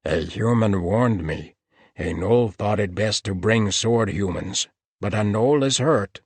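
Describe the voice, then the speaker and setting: A man's voice: lowish voice, slow, darkish tone, husky, no room sound, pitched above the performer's own voice, exaggerated voice. A man voicing a blunt male gnoll warrior who speaks in clipped broken phrases and refers to himself in the third person. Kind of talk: audiobook character voice.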